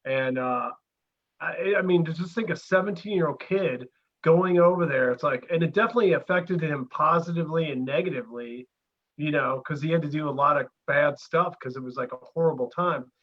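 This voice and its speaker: A man's voice: on the high side, fairly quick. A man co-hosting in casual, slangy, blunt conversation, heard over a lo-fi remote connection. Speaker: co-host. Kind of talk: casual chat.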